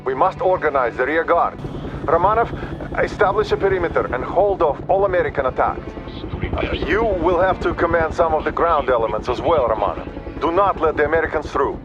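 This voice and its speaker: An actor playing a grave, dutiful Soviet major in a video game, speaking in sober, clipped military phrases. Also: male voice, on the high side, expressive, brisk, highs cut off, over music, close to an everyday voice. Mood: grim.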